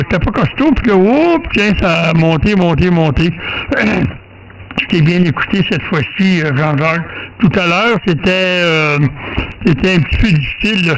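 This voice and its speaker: A man's voice: high-pitched, a bit bright, a little hiss or hum, low-quality recording. A man with a Quebec French accent chatting casually as an amateur radio operator, colloquial and repetitive.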